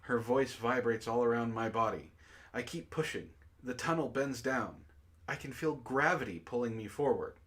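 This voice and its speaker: A man's voice: mid-range voice, lively intonation, normal speed, fairly bright tone. A man hosting a book club, reading prose aloud dramatically and breaking off into exasperated asides.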